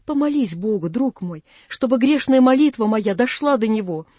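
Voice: medium pitch, expressive, normal speed, warm tone, dry recording, close to an everyday voice. A narrator plays an old father in a literary audiobook, tender and anguished. He implores with fervent feeling, in a dry, close sound. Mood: solemn blessing.

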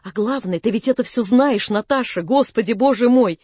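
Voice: mid-range voice, normal speed, darkish tone, husky, no echo, lightly acted. A narrator voicing a devoted young man in an audiobook, distraught, agitated and pleading insistently. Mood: desperate pleading.

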